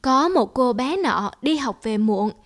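A woman playing a patient, caring young mother in a cartoon, soothing and gently instructive. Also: high voice, expressive, measured pace. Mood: gently earnest. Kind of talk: cartoon voice acting.

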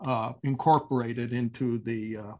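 A man leading a board committee item on a video call, speaking haltingly with many pauses. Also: mid-range voice, fairly even intonation, a little mellow. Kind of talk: meeting discussion.

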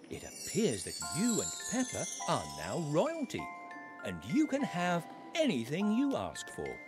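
Animated children's narrator. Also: male voice, on the high side, a little slow, over music. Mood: cheerful. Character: cheerful storybook narrator. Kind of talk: scripted children's story narration.

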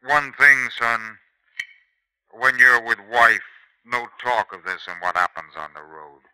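An actor playing a stern, menacing older father and highwayman in a 1950s radio drama. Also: measured pace, bright, forceful tone, clean tone, no room sound, low-quality recording. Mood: fatherly. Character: gruff older father, coin-clipping highwayman. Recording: vintage radio broadcast recording.

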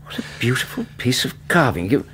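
An actor playing a fussy, learned middle-aged English academic in a radio drama, donnish and hesitant, with Received Pronunciation. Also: male voice, medium pitch, sing-song, fairly quick, background noise, natural voice. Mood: curious, genial. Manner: donnish, hesitant, prone to mild exclamations. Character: fussy, learned Edwardian English academic and ghost-story writer. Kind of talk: radio drama acting, storytelling narration and dialogue.

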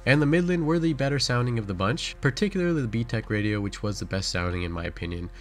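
A man's voice: medium pitch, animated, quick pace, soft background music. A man delivering a scripted product review as channel host, in a matter-of-fact, instructional way.

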